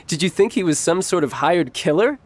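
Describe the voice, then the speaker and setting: A man voicing a suave young male operative in a video game, smooth, playful and insinuating, with a teasing edge. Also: high voice, big pitch swings, moderate pace, a little hiss or hum. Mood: amused, teasing. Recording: background music under the voice.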